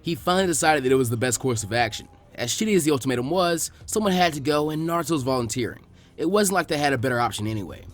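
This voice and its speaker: A man reading fan fiction aloud as an expressive scripted narration. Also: medium pitch, rapid-fire, faint music behind.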